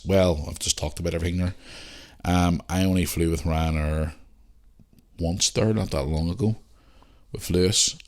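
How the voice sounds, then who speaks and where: A man with a Northern Irish accent hosting a podcast, chatting casually and informally as he goes through listener messages. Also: deep voice. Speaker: podcast host answering listener questions. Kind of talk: casual chat and reading out messages.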